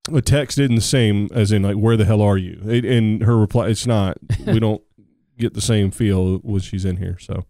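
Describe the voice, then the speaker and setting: A middle-aged man from the United States trading jokes as a podcast co-host, his talk sarcastic and wandering off on tangents. His voice sits a bit low and sounds dark and mellow, in a dry, close setting with no room echo. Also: steady tone, moderate pace. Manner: joking, sarcastic, rambling. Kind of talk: casual chat.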